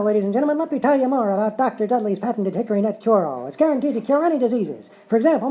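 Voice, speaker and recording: A man's voice: sits high, a little mellow. A man playing a brash, slippery patent-medicine huckster in a radio comedy sketch, with a theatrical barker's patter. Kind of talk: old-time radio sketch comedy acting. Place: live show in a hotel room. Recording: noisy live stage recording.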